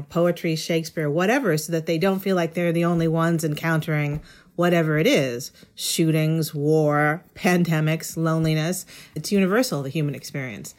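A woman's voice: low-pitched, darkish tone. A middle-aged woman speaking as an interview guest, explaining things in an articulate, thoughtful, conversational way. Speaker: interview guest, education writer.

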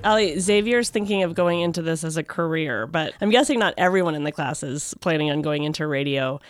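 A woman's voice: low voice, a little fast, no room sound. Woman hosting a scripted podcast. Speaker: podcast host. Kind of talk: scripted narration and interview.

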